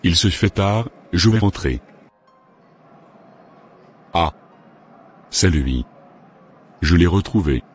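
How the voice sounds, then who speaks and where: Very deep stern boss, played by a man. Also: animated, slow, long pauses, a little hiss or hum. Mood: triumphant menace. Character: stern, vengeful male boss cheated of his money. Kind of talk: amateur animated drama acting. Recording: background music.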